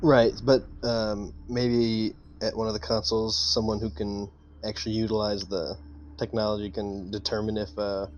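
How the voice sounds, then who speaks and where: A man talking casually as a tabletop roleplaying player, haltingly thinking aloud about his choices. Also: fairly low pitch, flat delivery, a little hiss or hum. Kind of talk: casual in-game discussion.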